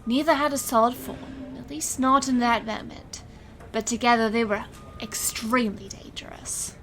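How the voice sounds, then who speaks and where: Woman acting a bright, dramatic stage illusionist heroine. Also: a little high, fairly even intonation, normal speed, soft background music. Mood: proud, resolute. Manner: theatrical, earnest storytelling. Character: dramatic stage illusionist with magic powers, proud and intense heroine. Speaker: narrator and lead character. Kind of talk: scripted first-person audio drama narration.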